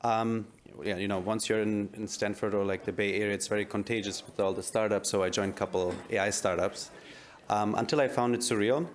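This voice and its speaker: German-accented man, low voice. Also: fairly even intonation, a little fast. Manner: casual, filler-heavy, uses "like" often. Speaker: tech startup founder on a panel. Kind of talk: panel introduction. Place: conference panel stage.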